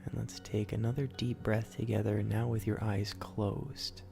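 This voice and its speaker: A man leading a guided sleep meditation as host, in a soothing, gentle, instructional manner. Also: fairly low pitch, little pitch movement, measured pace, creaky, over music.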